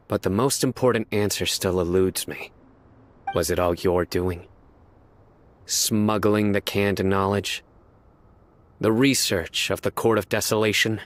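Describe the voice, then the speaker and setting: A stern young judge in a game, his voice low-pitched and his lines clipped and unyielding. He speaks curtly and firmly. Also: steady tone, takes it slowly, pauses often, slight background noise. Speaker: video game character. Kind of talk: game cutscene voice acting.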